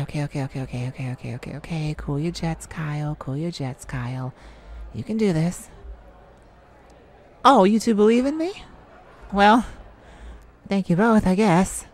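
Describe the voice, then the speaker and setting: A woman's voice: medium pitch, vocal fry, pitched below the performer's own voice. A woman voicing a shy, sweet, easily flustered young male singer in an ASMR roleplay, with a stammering, earnest manner.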